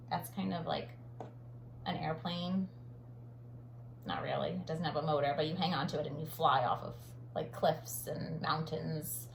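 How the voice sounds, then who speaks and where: A woman reading a children's picture book aloud like a teacher, dramatic on sound words and pausing to comment. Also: low voice, vocal fry. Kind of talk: expressive children's read-aloud with explanatory asides. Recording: roomy echo, faint background noise.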